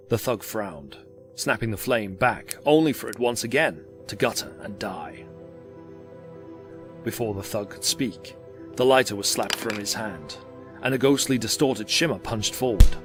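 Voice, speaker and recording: Guy narrating a dramatic story, slightly low voice, clear music bed underneath.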